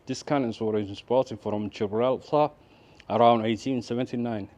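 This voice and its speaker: A man's voice: medium pitch, steady tone, moderate pace, darkish tone, muffled recording. A man from Birmingham, UK, chatting casually as a travel vlogger, hesitant and often repeating himself. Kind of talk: casual vlog chat and narration. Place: out and about in a city. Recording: busy street and cafe chatter behind.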